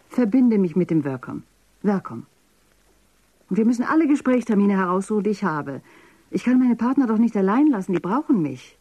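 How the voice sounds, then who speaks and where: A woman's voice: mid-range voice, gravelly, a little mellow, slightly rough, dry recording, full character voice. An actress playing a puzzled, self-doubting professional woman in a dystopian radio drama, musing as if talking to herself. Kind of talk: intimate radio drama acting.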